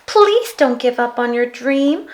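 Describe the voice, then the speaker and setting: A kind little storybook girl, played by a woman, talks in a high voice, eager to help and encourage. She speaks slowly and deliberately. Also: animated, slight background noise. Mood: encouraging. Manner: bright-spirited, encouraging. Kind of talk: children's picture book read aloud.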